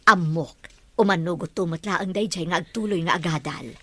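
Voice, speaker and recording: A woman's voice: fairly low pitch, normal speed, a bit bright, slightly rough, dry recording. A woman playing a caring middle-aged aunt and mother in an Ilocano radio drama. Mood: concerned.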